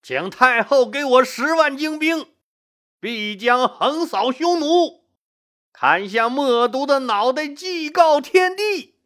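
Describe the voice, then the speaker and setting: Casting: narrator putting on warlike male general, declamatory and emphatic, high voice, historical tale. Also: expressive, slow, fairly bright tone, exaggerated voice. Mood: bold, fired-up. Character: bellicose, boastful male Han general.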